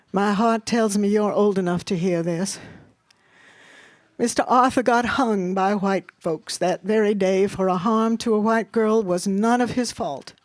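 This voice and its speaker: A woman's voice: mid-range voice, darkish tone, no room sound, lightly acted. A woman voicing a stern, sorrowful mother and nurse telling a story in a grave, folksy way.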